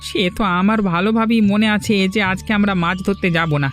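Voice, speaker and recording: A man plays a cautious, worried fisherman in a storybook cartoon. He speaks earnestly, in a high voice. Faint music plays beneath. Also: a little fast, a bit bright. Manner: earnest, warning. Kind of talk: cartoon voice acting.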